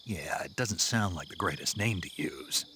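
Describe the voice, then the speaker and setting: A terse, gruff field agent with a very low, rumbling voice that gives short, dry replies. He speaks over the radio in a game cutscene, with faint night-time ambience behind him. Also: animated, normal speed, sharp tone, slight background noise. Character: terse, gruff male soldier-spy in the field. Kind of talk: video game cutscene acting.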